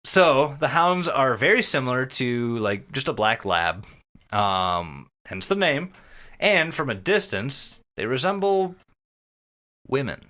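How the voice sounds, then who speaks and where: A man co-hosting a podcast, talking casually and describing things in an animated way with casual swearing. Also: mid-range voice, takes it slowly. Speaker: podcast co-host.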